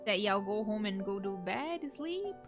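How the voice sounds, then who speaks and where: A woman's voice: medium pitch, expressive, unhurried, over music. A young woman streaming a game with casual, slangy, playful and opinionated commentary.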